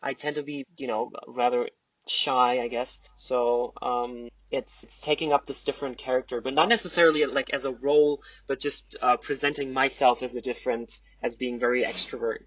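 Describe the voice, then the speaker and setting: A young man chatting casually as a podcast guest, halting and self-deprecating in the way he talks. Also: medium pitch, steady tone, fairly bright tone, husky, no room sound, lo-fi sound. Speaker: podcast guest, amateur theater group member.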